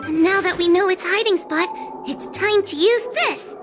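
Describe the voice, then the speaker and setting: Very high, timid fox-girl exorcist. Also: normal speed. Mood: earnest, unsure. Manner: hesitant, stammering, apologetic. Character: timid, earnest young fox-girl exorcist stammering through expertise. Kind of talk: anime-style game voice acting. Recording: game music behind the voice.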